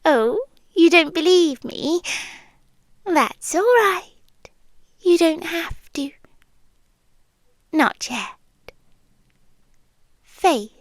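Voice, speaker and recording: Woman acting slow, high-voiced British yandere girlfriend. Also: dry recording, clean studio sound, full character voice. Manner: slow, deliberate, hushed, often slipping into whispers. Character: obsessive yandere girlfriend captor, softly menacing, possessive. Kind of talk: ASMR roleplay. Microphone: close microphone, dry.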